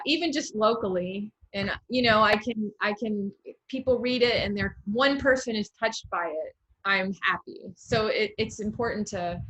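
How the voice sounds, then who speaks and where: An American woman with a bright, crisp-edged tone hosts a casual literary chat. She is encouraging and works through her thoughts aloud as she talks. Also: mid-range voice, low-quality recording. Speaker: interviewer, host, poet.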